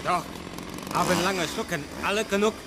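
A male actor in a German radio drama playing a desperate, accusing migrant labourer with a foreign accent and halting broken German. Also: high voice, natural voice. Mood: bitter, accusing. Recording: outdoor ambient noise behind.

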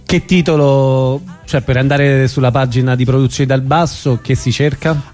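A man with a mid-range voice hosts a relaxed radio conversation. He keeps to brief, bantering reactions and quick questions, with music faintly audible behind him. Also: mid-range voice. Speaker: radio host. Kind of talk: casual radio chat, short interjections. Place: radio studio.

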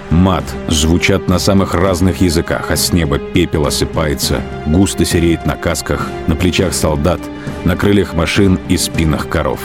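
A man reading scripted literary narration as the narrator of a radio drama. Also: extremely low pitch. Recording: studio narration over clear music bed. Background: music.

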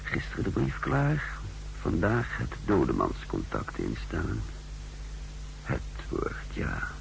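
An actor playing a cool, calculating secret agent who narrates his mission in a measured, introspective way in a 1960s radio drama. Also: low-pitched, wildly swooping pitch, creaky, exaggerated voice, rough recording. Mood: brooding. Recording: hissy 1960s radio recording.

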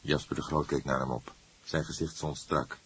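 A man's voice: extremely low pitch, level tone, normal speed, fairly bright tone, breathy edge. A man narrating a scripted crime story as an audiobook reader.

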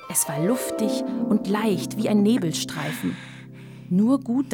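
A woman narrator reading a scripted children's story in a radio play. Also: medium pitch.

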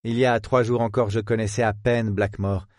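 A man voicing a polite, witty male detective who speaks in a measured, courteous way. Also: lowish voice, flat delivery, a little mellow, no room sound, clean studio sound, natural voice.

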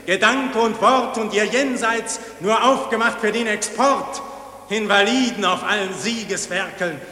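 Otherworldly avenger: solemn, accusing, piercing, declaimed radio verse in cavernous reverb. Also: male voice, high voice, unhurried, bright, forceful tone. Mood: wrathful, accusing. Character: wrathful cosmic voice from Mars judging mankind. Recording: echoing, long cavernous reverb.

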